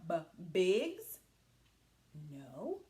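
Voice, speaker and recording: A woman teacher leading a read-aloud lesson for young children, speaking patiently and pausing after her questions. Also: mid-range voice, wildly swooping pitch, darkish tone.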